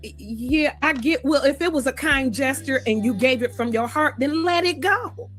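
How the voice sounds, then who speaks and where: A woman's voice: high-pitched, expressive, normal speed, a bit bright, soft background music. A woman giving casual, matter-of-fact advice during a group argument on a livestream, in an admonishing way. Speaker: livestream panel participant.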